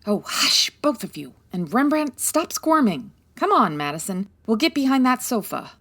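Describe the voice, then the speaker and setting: A brisk, bossy private eye in a comic forties radio play, played by a woman. She gives orders confidently, with swooping, lively intonation. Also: on the high side, full character voice. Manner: take-charge, commanding. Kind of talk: comedic 1940s radio detective acting.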